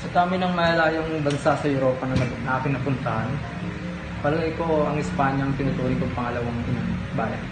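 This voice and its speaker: A young man playing an earnest, formal young gentleman back from Europe in a school drama. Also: mid-range voice, fast talker, distant mic, lightly acted. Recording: echoey room from afar, loud ambient noise.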